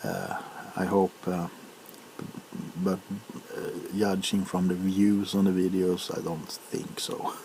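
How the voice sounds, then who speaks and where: A man rambling casually for an ASMR video, with a halting, unhurried, hesitant way of talking. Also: sits low, little pitch movement, gravelly, warm tone, a little hiss or hum, low-quality recording.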